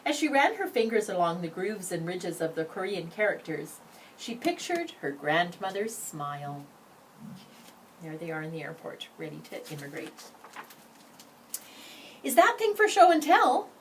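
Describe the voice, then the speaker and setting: A woman's voice: lowish voice, normal speed. A woman reading a children's picture book aloud expressively, adding brief asides. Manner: expressive, acts out the dialogue. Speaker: story reader. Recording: distant microphone, background noise.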